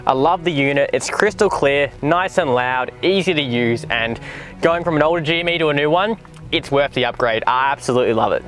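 A man's voice: fairly high pitch, brisk, a bit bright, faint music behind. A man in his twenties with an Australian accent, talking casually and enthusiastically to camera in a vlog review. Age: 20s. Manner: enthusiastic, informal. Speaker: vlogger and presenter. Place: in a car and outdoors in the bush.

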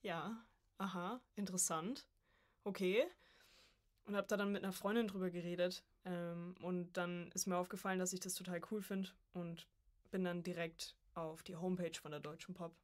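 Female, early 20s, slightly low pitch, informal and chatty podcast guest. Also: level tone, moderate pace. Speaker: podcast guest, voice acting student. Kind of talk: casual conversational interview.

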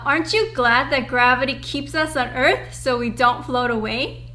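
A young woman presenting and reading for young children in an upbeat, clearly enunciated way, as a storytime host. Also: high-pitched, bright, forceful tone, slight background noise. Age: young adult. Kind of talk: children's read-aloud and presenting.

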